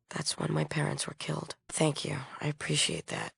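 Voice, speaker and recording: An actress playing a solemn, idealistic leader of a post-apocalyptic peace movement in a video game, earnest and guarded. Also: female voice, sits low, monotone, a little slow, creaky, a bit bright, breathy edge, no echo, full character voice. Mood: grave, informative. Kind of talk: acted video game dialogue.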